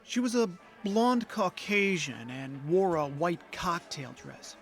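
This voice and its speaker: Casting: male actor for a lonely everyman in an audio play, high male voice, earnest, slightly hesitant, music underneath. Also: sing-song, slow, fairly bright tone, heavily acted. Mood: wistful, earnest. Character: ordinary lonely young man, earnest, frustrated office worker. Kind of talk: audio drama dialogue and first-person narration.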